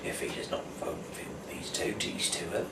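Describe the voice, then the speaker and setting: A man from the UK who speaks haltingly and stumbles over words as an amateur host and reader. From United Kingdom. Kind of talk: casual rambling talk, then reading scripture aloud. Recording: echoey room, distant mic, clear background noise.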